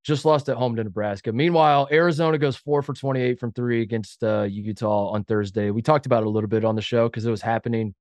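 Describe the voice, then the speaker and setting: A man's voice: mid-range voice, steady tone, quick pace, hardly any pauses, darkish tone, no room sound. A man with a head cold and a nasal voice, co-hosting a casual sports talk podcast in a rambling, self-deprecating way. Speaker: podcast co-host.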